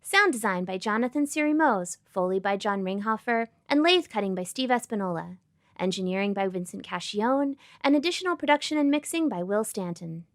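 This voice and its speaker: A woman's voice: medium pitch, lively intonation, fairly quick, no room sound, clean studio sound. A woman reading scripted show credits as an announcer.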